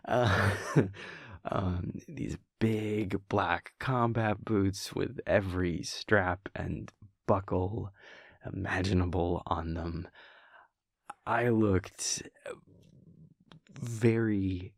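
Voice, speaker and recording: A young man playing a laid-back, wry vampire prince boyfriend in an intimate audio roleplay, telling a story in a hushed, halting, amused way. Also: sits low, fairly even intonation, creaky, no echo, studio quality, heavily acted. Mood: sheepish, amused. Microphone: close, dry studio microphone.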